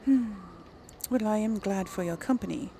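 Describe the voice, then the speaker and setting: A woman's voice: mid-range voice, moderate pace, mellow, a little hiss or hum. A woman hosting a casual game playthrough, chatty and musing aloud as she reads out dialogue choices. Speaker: gaming video host and player. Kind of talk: casual let's play commentary, reading dialogue choices aloud.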